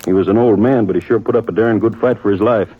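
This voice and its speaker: Deep husky frontier marshal. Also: male voice, fast. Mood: firm, defensive. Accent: American. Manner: plain, folksy, clipped frontier speech. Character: quiet, capable small-town frontier marshal, plain-spoken and firm. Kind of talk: 1950s radio western acting. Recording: tinny, narrow-band old radio recording.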